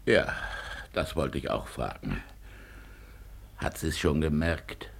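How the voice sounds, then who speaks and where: A provincial police chief in a 1950s radio drama. His voice is extremely deep and very husky, and he speaks with worried, ponderous formality. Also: expressive, slow, exaggerated voice. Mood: cautious, formal. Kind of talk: 1950s German radio play acting.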